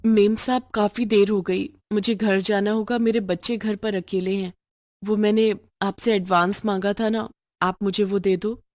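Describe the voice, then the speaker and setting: A woman's voice: mid-range voice, steady tone, moderate pace, a little mellow, dry recording, clean studio sound, close to an everyday voice. Woman voicing a humble, anxious poor housemaid. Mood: polite pleading. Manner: deferential, hesitant. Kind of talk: moral storybook voice acting.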